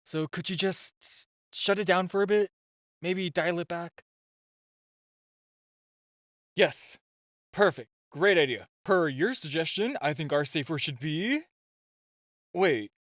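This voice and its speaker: A man's voice: a little high, lively intonation, a little slow, fairly bright tone, dry recording. Man acting a shy, stammering gadget nerd, dry close sound. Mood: flustered, pleading. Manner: nervous, stammering, flustered. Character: super-shy, flustered nerdy male gadget inventor. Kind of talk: amateur roleplay audio acting.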